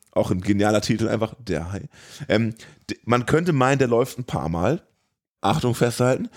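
A man's voice: on the low side, darkish tone. A man co-hosting a casual podcast, jokey and colloquial as he chats and reads out items. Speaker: podcast co-host. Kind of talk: casual banter, reading out news and TV listings.

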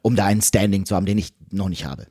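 A man's voice: deep voice, fairly even intonation, very fast, dark tone, husky, no echo, clean studio sound. A man with a German accent speaking casually as a podcast guest and voice actor, explaining his work with emphatic repetitions. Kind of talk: casual conversational explanation of the craft.

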